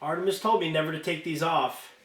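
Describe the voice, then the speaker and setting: Guy doing a giddy teen girl captor from a storybook, high voice, sing-song teasing, echoey room. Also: fairly bright tone, natural voice. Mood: coy. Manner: playful, sing-song, drawn-out condescension. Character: giddy, taunting teenage human girl captor.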